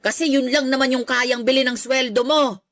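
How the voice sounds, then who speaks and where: A woman's voice: high voice, fairly even intonation, moderate pace, a bit bright, no echo. A woman playing a middle-aged mother in a radio drama, scolding and sarcastic as she belittles her grown son. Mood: scornful. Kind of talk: Filipino radio drama acting.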